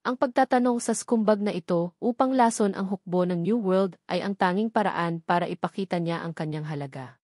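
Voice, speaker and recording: A woman's voice: lowish voice, a little fast, a little mellow, no echo, clean studio sound. A woman reading a novel aloud as scripted narration. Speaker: audiobook narrator.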